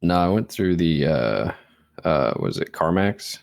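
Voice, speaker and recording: A man's voice: low-pitched, big pitch swings, unhurried, vocal fry, dry recording, studio quality. A young man, co-hosting a podcast, telling rambling stories and quoting people in casual chat. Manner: rambling storyteller, quotes people, swears, laughs. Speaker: podcast co-host.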